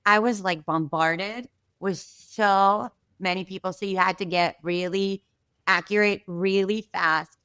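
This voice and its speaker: A woman from Israel tells a story as a podcast guest, animated and chatty, with plenty of asides. Her voice is smooth and clear, recorded close and dry. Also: medium pitch, unhurried, no echo. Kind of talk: casual storytelling.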